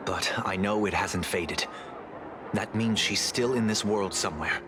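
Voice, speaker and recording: A man as a low, solemn strategist. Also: background noise, lightly acted. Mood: grave. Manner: measured, solemn, articulate. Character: composed, grave male strategist in space fantasy. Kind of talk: video game voice acting.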